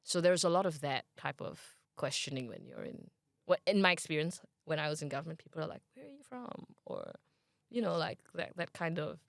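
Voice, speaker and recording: Creaky-voiced young Malaysian woman. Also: deep voice, no echo, clean studio sound. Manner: chatty, many fillers, thinks aloud. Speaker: interview guest. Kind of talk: casual interview.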